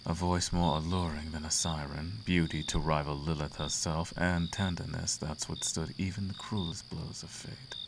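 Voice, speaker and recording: Man voicing a very deep, gravelly demon prince. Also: fairly even intonation, background noise. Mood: seductive, indulgent. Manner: slow, courtly, teasing. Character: seductive, imperious male demon prince of the Abyss. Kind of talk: flirtatious roleplay ASMR acting.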